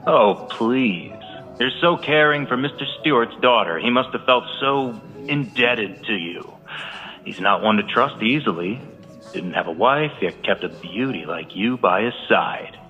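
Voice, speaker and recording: A man's voice: medium pitch, expressive, unhurried, soft background music, close to an everyday voice. A man playing a scornful nobleman who jeers with open contempt, in a game cutscene. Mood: jeering. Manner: jeering, contemptuous. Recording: dull reverberant game audio.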